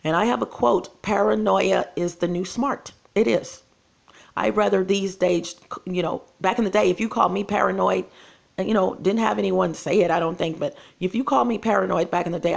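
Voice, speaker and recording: Gravelly-voiced woman vlogging. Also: lowish voice. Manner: earnest, repetitive, addresses viewers directly. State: losing her voice. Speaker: channel host. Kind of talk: casual vlog monologue.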